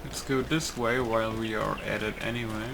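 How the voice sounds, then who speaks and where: A man's voice: lowish voice, steady tone, moderate pace. A man giving casual let's play commentary, talking half to himself as he plays. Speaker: gamer, video host. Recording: clear background game noise.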